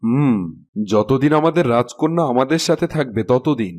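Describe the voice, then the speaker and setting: A man's voice: mid-range voice, normal speed, darkish tone, natural voice. Earnest, anxious king in a cartoon, acted by a man. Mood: worried, tender. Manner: earnest, pleading. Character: anxious, pleading fairy-tale king, fond husband and father. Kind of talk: fairy-tale cartoon acting. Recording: music behind the voice. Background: music.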